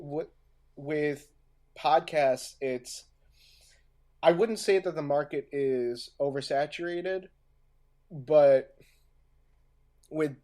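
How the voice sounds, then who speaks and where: Slow, halting man in casual discussion. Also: medium pitch, darkish tone. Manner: halting, searching for words, often repeating himself. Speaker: podcast co-host. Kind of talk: casual discussion of audio drama craft.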